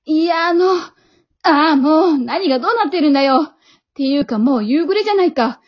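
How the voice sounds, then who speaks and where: An earnest young boy hero voiced by a woman in a comedy radio drama. He has a high, boyish voice and an exasperated, pleading way of talking. The audio is a bit dull. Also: animated, a little fast. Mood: flustered, scolding.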